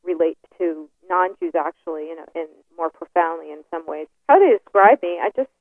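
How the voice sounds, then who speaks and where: A woman's voice: lowish voice, brisk, no room sound. A woman guest in a casual interview, talking with lots of fillers and restarts over the phone. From New York. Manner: hesitant, many fillers and restarts. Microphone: telephone. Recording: tinny phone line.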